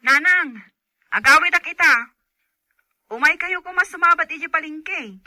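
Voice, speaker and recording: A woman's voice: very high voice, a little slow, bright, forceful tone, no room sound, clean studio sound, full character voice. A woman playing a young Filipino daughter talking to her mother in a radio drama. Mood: eager.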